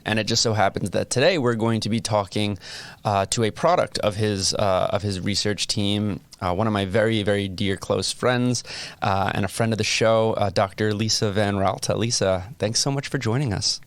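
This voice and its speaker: A man in his thirties hosting a podcast interview, relaxed and joking, with plenty of ums. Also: fairly low pitch, normal speed, no echo. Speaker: podcast host, academic.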